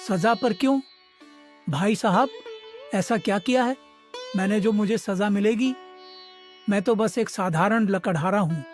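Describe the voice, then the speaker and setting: A man's voice: sits high, unhurried, pauses often, soft background music. A man voicing a poor, humble middle-aged village woodcutter in a cartoon, speaking in a pleading, deferential way. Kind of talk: Hindi moral cartoon dialogue.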